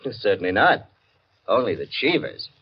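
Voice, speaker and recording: Urbane, animated society gentleman, old radio. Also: lowish voice, moderate pace, slight background noise. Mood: wry, suave. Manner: sardonic, suave. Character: dry, urbane, gossipy society gentleman guest. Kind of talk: 1940s radio drama acting. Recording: dull vintage radio recording, faint music.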